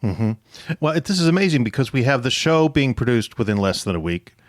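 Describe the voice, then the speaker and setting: A man's voice: medium pitch, very animated, brisk, dry recording. A man hosting an interview conversationally, thinking aloud and rephrasing as he sums things up. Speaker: interview host.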